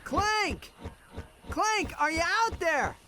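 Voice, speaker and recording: Animated young alien hero. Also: male voice, very high voice, drawn-out words, full character voice. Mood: anxious searching. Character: young male furry alien hero, eager and stubborn. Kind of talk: video game character acting. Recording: music under the voice.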